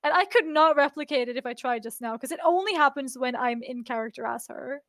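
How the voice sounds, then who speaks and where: A woman from Scandinavia with a high voice, chatting eagerly and gushing over what she hears on a live stream. Her mic is close and dry, with no room around her. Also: fairly quick, a bit bright, dry recording. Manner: enthusiastic, gushing, laughing. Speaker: role-play streamer. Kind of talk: casual chat on a live stream.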